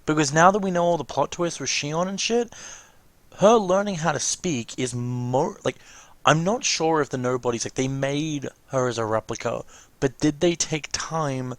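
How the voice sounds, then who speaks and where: A man's voice: fairly high pitch, moderate pace, no echo. A man giving casual, rambling, enthusiastic reaction commentary as a let's play host.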